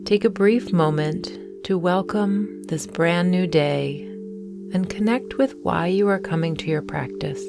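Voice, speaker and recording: Slow, low-voiced woman guiding meditation. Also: creaky, music in the background. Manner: gentle, soothing, deliberate phrasing with long pauses. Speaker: meditation guide and host. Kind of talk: guided morning meditation.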